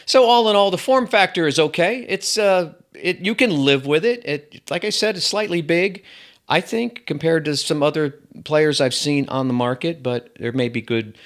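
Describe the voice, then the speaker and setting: A man's voice: a little high, moderate pace. A man giving a casual, rambling product review as a channel host, with wry asides.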